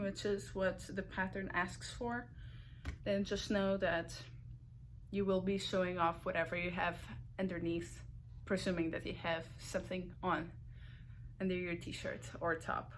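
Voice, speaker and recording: A young woman with a Portuguese accent, hosting a knitting video podcast. She chats casually to the camera, unhurried, often using filler words and correcting herself. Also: fairly low pitch, little pitch movement, slight background noise.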